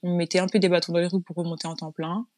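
A woman's voice: on the low side, level tone, very fast, a little mellow. A woman from France, a guest in a casual interview, telling her story frankly in a chatty way with frequent restarts. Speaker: guest, business owner.

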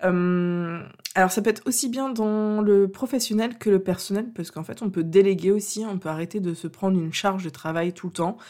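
A woman with a cold talks alone into her podcast mic, unscripted and sincere. She circles back often and pads her thoughts with fillers, with a stuffy, slightly rough edge to her voice. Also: medium pitch, normal speed, warm tone. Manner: hesitant, many fillers and restarts, earnest. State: has a cold. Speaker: podcast host and coach. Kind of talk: unscripted solo podcast talk.

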